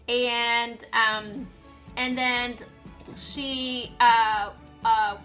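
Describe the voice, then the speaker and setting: Woman, high-pitched, unhurried, children's read-aloud and lesson, faint music behind. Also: pushed and bright. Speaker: read-aloud storyteller and teacher.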